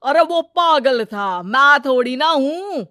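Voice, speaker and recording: A woman voicing a greedy, scheming male village moneylender, loud and brash in a cartoon story. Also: high-pitched, lively intonation, slow, dry recording, clean studio sound, pitched above the performer's own voice, exaggerated voice.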